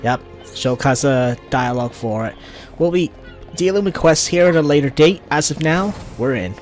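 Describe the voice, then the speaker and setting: A man with a fairly high voice gives informal let's play commentary. He thinks aloud as he goes, with faint game music playing behind him. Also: expressive, normal speed. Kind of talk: casual game walkthrough commentary. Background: video game music.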